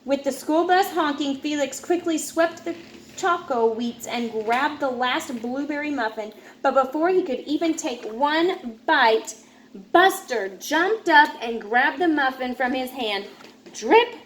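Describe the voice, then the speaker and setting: A woman's voice: high-pitched, expressive, unhurried. A woman reading a children's picture book aloud as narrator, with some noise in the recording. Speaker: storybook reader.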